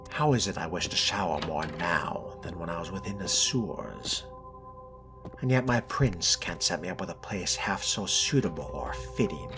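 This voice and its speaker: Man playing a deep, gravelly, haughty vampire. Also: animated, moderate pace, music in the background. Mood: disdainful. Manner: pompous, dryly mocking, formal wording. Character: haughty, sardonic male vampire fledgling with refined disdain. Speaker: player role-playing a video game character. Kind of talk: in-character gameplay monologue.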